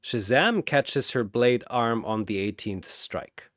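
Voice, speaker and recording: A man delivers dramatic scripted battle narration at a slow, deliberate pace. His pitch swings widely, and the sound is close and dry. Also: lively intonation, fairly bright tone, no room sound.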